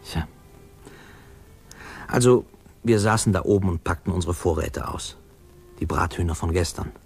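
A man playing a reflective narrator who wryly recalls his boyhood in a 1950s radio play, with musing pauses. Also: low-pitched, creaky, husky, faint music behind, exaggerated voice. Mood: wry, reminiscing. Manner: wry, musing, pausing.

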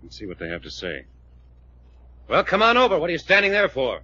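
An actor playing a blunt, commanding, sharp-tongued adventurer in an old-time radio drama, on an old broadcast recording. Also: male voice, a little high, huge pitch swings, brisk, slightly rough, dull audio, heavily acted.

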